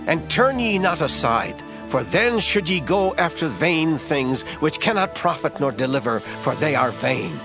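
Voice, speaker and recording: An actor playing a stern, solemn prophet exhorting emphatically in a dramatized scripture audio drama. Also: male voice, a little high. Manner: emphatic, preacherly delivery. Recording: music under the voice.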